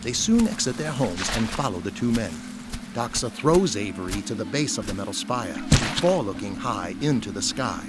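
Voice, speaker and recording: A man's voice: fairly low pitch, big pitch swings, normal speed, very noisy. A man giving scripted narration as the narrator of an audio drama.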